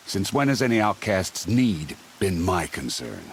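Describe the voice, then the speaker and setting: A man voicing a hostile gate guard in a game cutscene, slow and contemptuous. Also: low voice, big pitch swings, gravelly, slight background noise, full character voice. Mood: scornful.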